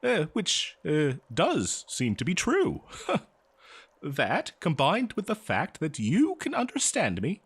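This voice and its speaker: A man playing a courteous, eager and nervous scholar in a fantasy audio roleplay, speaking politely and haltingly to the listener. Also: a little high, huge pitch swings, husky, full character voice. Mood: intrigued. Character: eager, nervous, courteous male human professor of ancient civilizations.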